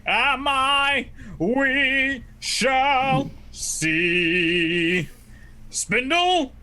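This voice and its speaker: A man's voice: very high voice, expressive, extremely slow, sharp tone, soft background music, full character voice. A man playing a scheming, mock-courteous villain in a 1940s-style radio play, silky and condescending. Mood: silky menace. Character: scheming, mock-courteous mad villain leader of warring vegetables.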